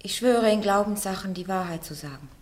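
A woman's voice: medium pitch, brisk, lightly acted. A woman playing a defiant peasant girl on trial. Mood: plain. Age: young adult. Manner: plain-spoken and stubborn.

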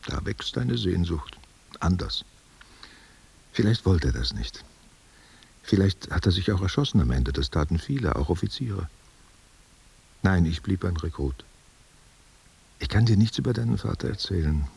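Very deep, husky old man. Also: expressive, normal speed, long pauses, a little mellow, exaggerated voice. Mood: brooding, probing. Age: older. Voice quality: husky, gravelly. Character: gruff, tender, brooding old man, war veteran. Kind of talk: literary radio play dialogue.

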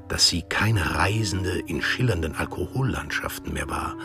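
A man's voice: sits low, gravelly. A man narrating a radio drama from a script.

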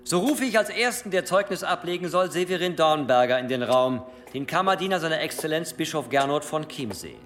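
A medieval church prosecutor in a radio drama, formal and insinuating. His fairly high voice rises and falls a lot in a reverberant hall, pressing smoothly. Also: animated, brisk, few pauses, a bit bright. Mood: probing, insinuating. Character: shrewd, insinuating medieval cathedral provost prosecuting a bishop. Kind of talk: radio play acting. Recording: long hall reverb, faint music.

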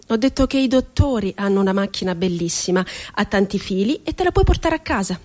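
A woman's voice: mid-range voice, animated, brisk, a little mellow, lightly acted. An actress playing a wry, childlike, wounded woman poet confined in an asylum, in a lyrical theatrical monologue. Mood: playful, wry. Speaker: stage actress. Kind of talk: theatrical monologue for radio drama.

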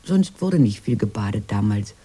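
An actress playing a sentimental, dreamy elderly sister in a radio play, reminiscing wistfully in a breathy, husky voice. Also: female voice, a bass voice, expressive, moderate pace, warm tone, heavily acted.

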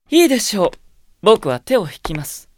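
An actor playing a young, mockingly courteous, sadistic sorcerer in a radio drama, smug and theatrical. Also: male voice, sits high, slight background noise, exaggerated voice. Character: young male evil sorcerer, mocking, coolly polite, sadistic. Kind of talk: Japanese radio drama acting.

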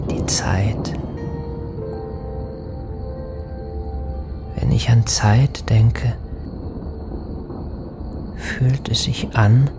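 An actor playing a lost soul in a poetic radio drama, halting and brooding, with a breathy, half-whispered voice. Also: male voice, on the low side. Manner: slow, halting, hushed, brooding. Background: music.

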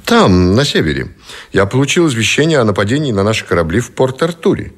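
An actor playing a captive middle-aged admiral who gives formal, measured, dignified testimony in a radio play. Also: male voice, mid-range voice, very animated. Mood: formal, composed.

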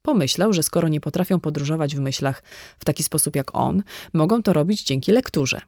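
A woman's voice: low-pitched, expressive, a little fast, dark tone, no room sound, studio quality. A woman reading a scripted audiobook dialogue as a narrator. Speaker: audiobook reader.

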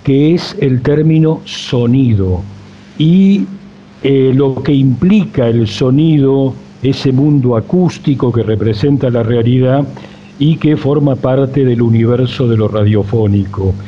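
An Argentine man speaks slowly as a radio interviewer, choosing formal, reflective phrases. His pitch is average for a man. The recording is a little dull, with some noise. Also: warm tone.

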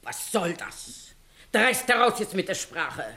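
A woman's voice: mid-range voice, very animated, slow pace, sharp tone, full character voice. An actress playing a fierce middle-aged village mother in a German radio play, stern, scolding and emphatic. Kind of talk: 1960s German-language radio acting.

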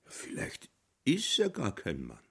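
A man's voice: mid-range voice, huge pitch swings, slow, vocal fry, husky. A man performing dramatic narration and commentary in a literary radio play.

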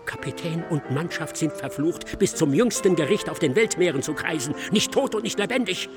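An actor playing an excitable, self-absorbed male scholar in a German radio drama, lecturing insistently and theatrically. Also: a little high, normal speed, over music. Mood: hushed, ominous. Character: excitable, self-absorbed male scholar expounding his dark ghost-ship thesis.

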